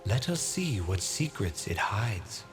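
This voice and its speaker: An actor playing a solemn, enigmatic spirit guide in a video game, calm and measured. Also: male voice, lowish voice, over loud music. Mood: calm, cryptic. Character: calm, cryptic male guiding spirit, solemn mentor. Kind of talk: video game character acting.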